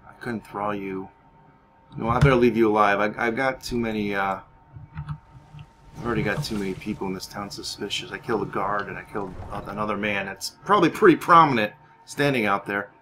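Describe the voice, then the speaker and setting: A man's voice: on the low side, fairly quick, pushed and bright, a little hiss or hum. A man giving gameplay commentary as roleplay, musing aloud in character. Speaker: gamer playing in character.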